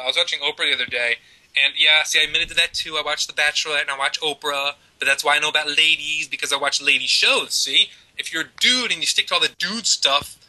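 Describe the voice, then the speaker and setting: A man in his thirties from Baltimore rambles and jokes about himself on a casual parenting show. His voice is very bright and a bit high. He talks into a headset from a room at home over a video call. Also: poor audio. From Baltimore, US East Coast. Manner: rambling, joking, self-mocking. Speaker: podcast co-host.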